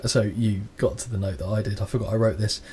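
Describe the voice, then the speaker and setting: A man's voice: fairly low pitch, fairly even intonation, fast talker, mellow, slight background noise. Man recapping a wrestling match. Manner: reads match notes aloud, dry crude humour. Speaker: podcast co-host. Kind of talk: casual chat with play-by-play recap.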